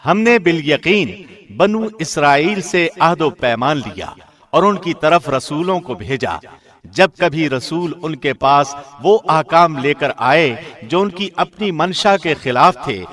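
A man reading a scripted scripture translation in a measured, formal radio-presenter manner. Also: fairly high pitch, expressive, fairly bright tone. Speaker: translation reader, radio host.

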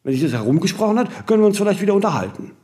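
An actor playing a vain, ageing celebrity in a radio play, imperious, aloof and rambling in aphorisms with little tag questions. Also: male voice, sits high, big pitch swings, racing, darkish tone. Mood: musing, pontificating. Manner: haughty, rambling, aphoristic, with clipped tag questions and self-corrections. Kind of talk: radio play monologue in dialogue.

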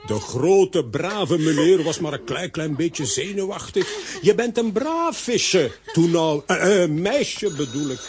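An actor voicing a vain, blustering middle-aged comic adventurer, pompous and wheedling, in a children's audio drama. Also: male voice, a little high, animated, slow pace, slight background noise. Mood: soothing.

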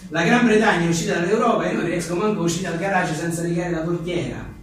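Man, high voice, wry joking delivery, reading one-liners with asides, very echoey room, faint noise. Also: fast talker, distant mic. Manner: wry, joking, often chuckling. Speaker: writer and journalist presenting his own book. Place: live book presentation in a reverberant room.

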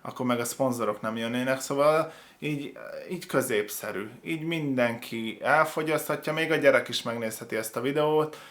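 A man hosting a reaction show, giving casual, opinionated commentary in a halting way, often correcting himself. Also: mid-range voice, fairly bright tone. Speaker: host of a video reaction show.

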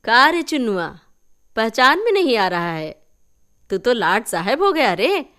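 Woman doing an older village dad from a story, loud teasing rustic talk, pitched up. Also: sing-song, unhurried. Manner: hearty rustic dialect.

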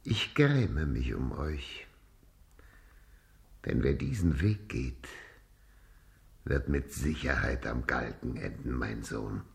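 An actor playing a menacing, sanctimonious high churchman in a radio drama, solemn and coldly threatening with a gravelly voice. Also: animated, slow, long pauses, full character voice. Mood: cold menace.

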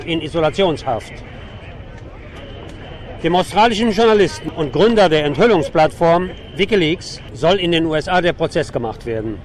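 A man giving an on-location radio report from a protest outdoors, with an opinionated, sardonic edge. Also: a little high. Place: outdoors on a city avenue at a demonstration. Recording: noisy city street with traffic. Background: clear street and traffic noise.

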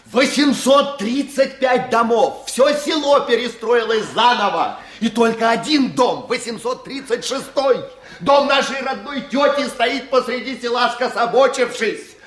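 A man's voice: very high-pitched, expressive, unhurried, a bit bright, exaggerated voice. An actor in a Soviet radio play, playing a nervous, thin village foreman who complains with agitated sarcasm. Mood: mocking exasperation.

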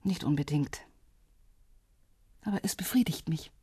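A middle-aged divorced woman in a radio drama. Her voice is fairly low and husky, her manner wry and guarded, with a bitter, sardonic edge. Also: measured pace, dark tone, dry recording.

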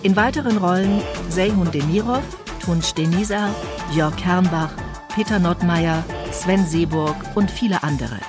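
A woman with a fairly low voice announces a radio drama's title and cast. She reads very slowly in a scripted credit style, with music clearly playing behind her.

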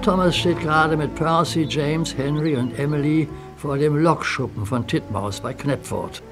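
A man's voice: fairly high pitch, moderate pace, over music. Man narrating a children's story.

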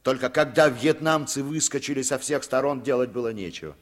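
A man's voice: mid-range voice, fairly quick, close to an everyday voice. An actor playing a weary former German legionnaire who tells his story reflectively and bitterly in a 1950s radio drama.

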